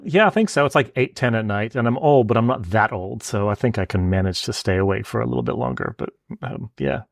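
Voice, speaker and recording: A man's voice: mid-range voice, brisk, creaky, no room sound, studio quality. A man from Canada with an American Denver accent, a podcast guest chatting casually and self-deprecatingly about his work. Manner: self-deprecating, laughing often. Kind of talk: casual interview chat. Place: home, evening, remote call.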